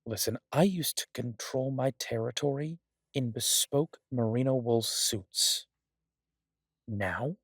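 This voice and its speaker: Guy acting as a restless mob boss chatting to his sweetheart, mid pitch, really dry sound, playfully dramatic, audio rp. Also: no room sound, lightly acted. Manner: conversational, exasperated, swearing, playfully dramatic. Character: male mafia boss, doting, restless, stuck baking in a safe house. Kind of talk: audio roleplay, one side of an intimate phone conversation.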